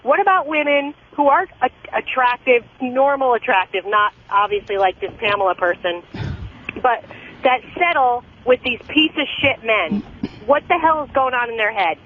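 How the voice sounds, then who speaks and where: A woman's voice: fairly high pitch, normal speed. A woman phoning a radio show, putting a question to the host in a conversational way. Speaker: radio call-in caller. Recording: tinny phone line over radio.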